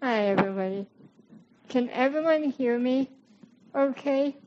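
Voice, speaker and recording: A woman with a quiet voice, a bit worn tonight, giving an informal dharma talk to a group and laughing softly. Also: high voice, a little slow, lo-fi sound. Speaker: guest meditation teacher.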